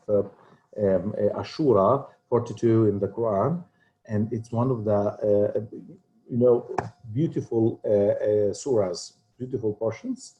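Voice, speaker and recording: A man's voice: on the low side, warm tone, low-quality recording. A man teaching a religious class in an informal, hesitant way, with frequent fillers. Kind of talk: informal lesson talk.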